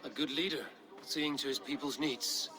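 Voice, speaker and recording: An actor playing a steady, diplomatic Viking warrior chieftain in a video game, measured and dryly wry. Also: male voice, medium pitch, music in the background, natural voice, low-quality recording. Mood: friendly, probing. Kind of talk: dramatic video game acting.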